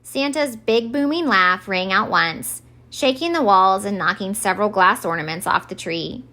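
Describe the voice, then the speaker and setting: A woman reading a children's storybook aloud as an expressive narrator for story time. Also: medium pitch, moderate pace.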